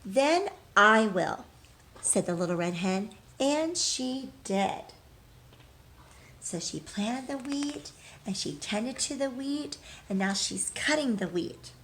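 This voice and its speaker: Lady teacher doing storytime for little kids, slow and really expressive, asks them questions. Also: fairly high pitch, slight background noise. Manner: expressive, prompting young listeners. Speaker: teacher reading aloud. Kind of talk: children's storybook read-aloud with questions to listeners.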